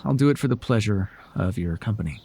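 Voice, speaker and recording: Man acting as a husky, theatrical, sardonic supervillain. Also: on the low side, expressive, quick pace, exaggerated voice. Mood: smug, softly teasing. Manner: theatrical, sardonic, swinging between soft murmurs and emphatic outbursts. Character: proud, flustered telepathic supervillain man with a hidden soft spot. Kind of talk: ASMR roleplay voice acting, intimate dramatic monologue to the listener.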